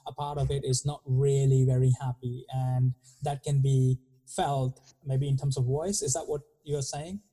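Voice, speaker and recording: A man hosting a podcast, talking softly and hesitantly with some fillers. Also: mid-range voice, steady tone, normal speed, hardly any pauses, rough recording. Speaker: podcast host. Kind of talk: casual conversation.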